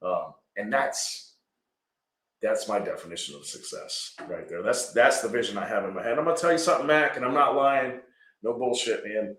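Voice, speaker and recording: Business-owner guest, early 40s; bright forceful tone, lowish pitch, echoey room sound. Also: male voice, expressive, normal speed. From Georgia. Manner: earnest, rambling, frequent "right?". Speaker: landscaping company owner, guest. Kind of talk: casual podcast conversation.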